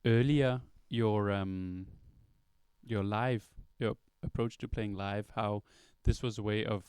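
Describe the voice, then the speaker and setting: A man speaks English in a smooth, clear voice that sits a little low. He asks long, reflective questions. The sound is dry and close to the mic. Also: steady tone, slow, a little mellow, no echo. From Denmark. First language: Danish. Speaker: interviewer, songwriter. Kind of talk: interview questions. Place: record store at a release party.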